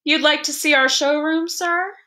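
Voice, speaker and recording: Woman as storybook magic-shop man, suave, mocking, over-polite, bright tone. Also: high voice, steady tone, moderate pace, voice pushed up. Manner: polite, suave, slyly insinuating.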